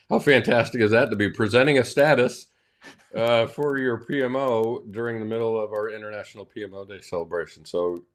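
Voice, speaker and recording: A man hosting a video call meetup, upbeat and encouraging in casual chat. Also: on the low side. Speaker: host.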